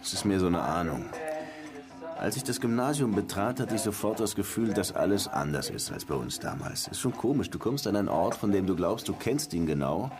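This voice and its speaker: An actor playing a nostalgic, wry middle-aged police lieutenant in a German radio crime drama, musing in colloquial speech. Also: male voice, deep voice, animated, brisk. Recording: music under the dialogue.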